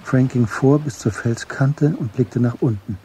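A man giving scripted literary narration for a radio drama, measured and matter-of-fact, with a husky voice. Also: medium pitch. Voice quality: dark, very husky.

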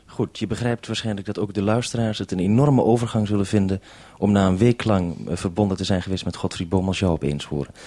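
Low-voiced male radio interviewer. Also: steady tone, quick pace, warm tone. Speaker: radio presenter. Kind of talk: unscripted live radio interview.

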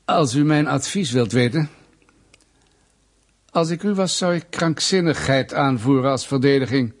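An actor playing a smug, menacing state official in a Dutch radio play, mock-polite, pedantic and insinuating. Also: male voice, mid-range voice, unhurried, natural voice. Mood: smug, threatening.

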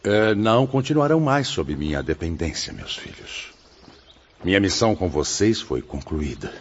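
A man acting a kindly, solemn spirit mentor in a radio drama, speaking in a measured, formal, fatherly way. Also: low-pitched, sing-song. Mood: tender, fatherly. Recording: muffled audio with faint noise.

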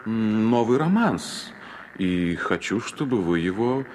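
Низкоголосого галантного аиста-щёголя. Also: male voice, on the low side, animated, slow, a little mellow, slight background noise. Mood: gallant, pleased. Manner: flowery, courteous, pompous. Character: gallant, self-pleased young male stork suitor. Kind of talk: children's fairy-tale audio play.

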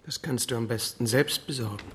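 A composed man of authority played by an actor speaks with restraint in a radio play. His pitch is medium, and faint noise sits behind him. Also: a little fast, fairly bright tone, close to an everyday voice. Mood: matter-of-fact. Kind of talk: restrained radio drama acting.

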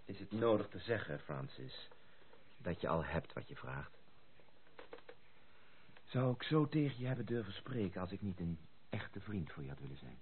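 An actor playing a courteous, gallant young gentleman in a Dutch radio play, politely teasing and gently reproving. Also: sits low, sing-song, normal speed, pauses often. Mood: earnest. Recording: tinny, band-limited, noisy old radio recording.

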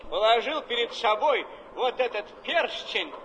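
An actor playing an aging gentleman writer who reminisces with expansive theatrical flourish, recorded in a reverberant hall. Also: extremely high pitch, animated, measured pace, exaggerated voice. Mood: animated, courtly. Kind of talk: theatrical stage acting. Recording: reverberant hall, faint background noise.

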